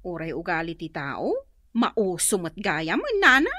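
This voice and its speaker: A woman playing a gentle, long-suffering young wife in an Ilocano radio drama, with a husky voice. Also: on the low side, very animated, measured pace, dry recording, studio quality, exaggerated voice. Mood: plaintive.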